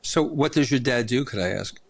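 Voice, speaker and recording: A man's voice: mid-range voice, expressive, normal speed, vocal fry. A man hosting an interview podcast, telling rambling stories in a chatty way with many restarts. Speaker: podcast host. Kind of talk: casual interview chat.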